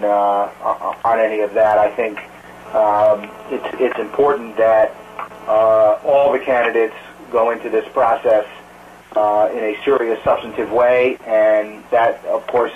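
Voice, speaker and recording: A man speaking as a campaign spokesman, answering press questions in a careful, measured, halting way over a telephone conference call. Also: fairly low pitch. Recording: noisy tinny phone line.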